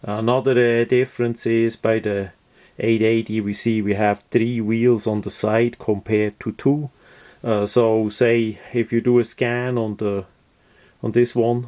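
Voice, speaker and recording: Male product reviewer, unhurried and methodical, unscripted hands-on delivery with halting phrasing. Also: mid-range voice, dark tone.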